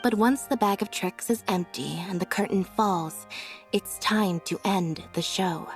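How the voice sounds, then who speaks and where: Woman voicing a cool deadpan assistant girl, mid voice, flat and measured, bit of music behind. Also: mid-range voice, natural voice. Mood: cold. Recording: game audio with faint music.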